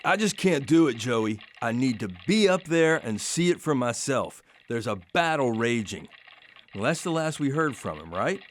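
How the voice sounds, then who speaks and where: An actor with an American accent playing an earnest, restless telegraph operator in a radio drama. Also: male voice, medium pitch, very animated, normal speed, natural voice. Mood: agitated, determined.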